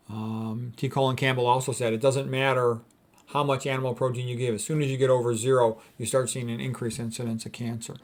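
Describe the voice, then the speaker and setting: A middle-aged American man, a physician, giving an informal lecture in a conversational, opinionated manner. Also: medium pitch. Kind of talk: informal lecture with commentary on quotes.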